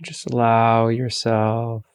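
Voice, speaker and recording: A man leading a guided meditation, giving calm, soothing, gentle instructions with long pauses between phrases. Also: medium pitch, smooth, no room sound.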